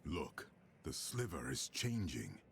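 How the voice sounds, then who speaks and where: An actor voicing an ancient, solemn male archangel in a video game, speaking in a grave, measured way. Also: a bass voice, sing-song, creaky, a little hiss or hum, exaggerated voice. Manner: grave, measured, weighty delivery.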